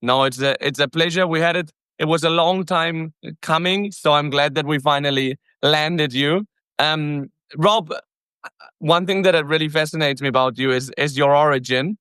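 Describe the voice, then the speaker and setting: An energetic man hosting a business podcast, with a smooth, resonant voice that sits in a middle register. The recording is close and dry, with no room sound at all. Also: a little high, dry recording. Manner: energetic, talkative, self-correcting. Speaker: podcast host. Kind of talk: casual podcast interview.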